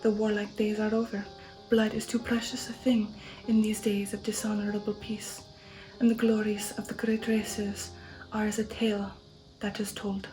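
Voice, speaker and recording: Woman acting proud old vampire count, gothic storybook, half-whispered, stately declamation, mellow tone, faint music. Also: medium pitch, level tone, normal speed, lightly acted, rough recording. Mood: solemn pride. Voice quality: soft, breathy, half-whispered. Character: proud old male vampire count boasting of warlike ancestry. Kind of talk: ASMR audiobook reading of a gothic novel.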